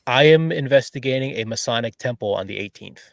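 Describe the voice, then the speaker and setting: A man on a podcast talks about investigating the paranormal. His voice is mid-pitched and recorded dry and close, with no room echo. He explains things patiently but in halting bits, repeating his words. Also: vocal fry. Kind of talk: casual chat.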